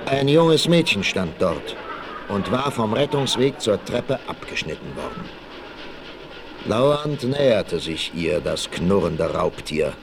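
An actor narrating a western adventure in an emphatic, suspenseful style for a 1960s radio play. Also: male voice, lowish voice, big pitch swings, slow, gravelly, exaggerated voice. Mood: dramatic, gripping. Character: dramatic storyteller of a western adventure. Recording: vintage vinyl radio play, faint noise.

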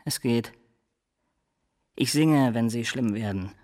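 An actor playing a devoted, dark, quiet killer henchman in a radio crime drama, answering tersely and obediently. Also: mid-range voice, slow pace, close to an everyday voice.

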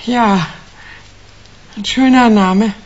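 An actress playing an anxious woman client of about sixty in a radio play, hesitant and earnest with a husky voice. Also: mid-range voice, very slow, muffled recording. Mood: worried, earnest. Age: older. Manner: hesitant, earnest, self-correcting.

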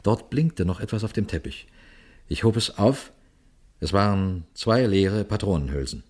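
An actor playing a sober, watchful police detective, telling his case in a measured, formal radio crime drama style. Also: on the low side, animated, darkish tone, breathy edge. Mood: tense, observant. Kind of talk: radio crime drama, suspenseful first-person narration and dialogue.

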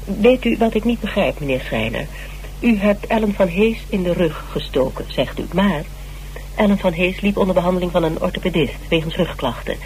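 A woman's voice: fairly low pitch, a little mellow. A woman in a Dutch radio drama playing a shrewd, composed investigator with a probing, matter-of-fact manner. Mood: probing, polite. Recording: noisy, humming old radio recording.

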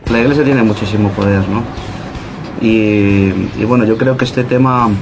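A man's voice: on the low side, steady tone, normal speed, background noise. A musician with an accent from Spain answering interview questions, often tagging his phrases with a little question. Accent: Spanish, from Spain. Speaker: band musician being interviewed.

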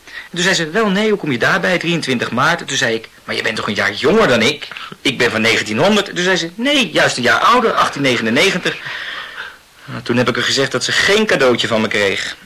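Cheeky young student, bright and husky. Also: male voice, medium pitch, big pitch swings, a little fast, heavily acted. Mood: amused recounting. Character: chatty young male student, cheeky and eager. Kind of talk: radio play dialogue.

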